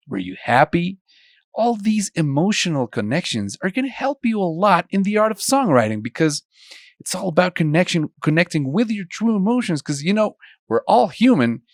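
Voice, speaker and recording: Lively Colombian man teaching songwriting. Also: a little high, no room sound, studio quality. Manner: conversational, asks students many questions. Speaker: songwriting instructor. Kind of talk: online video lesson.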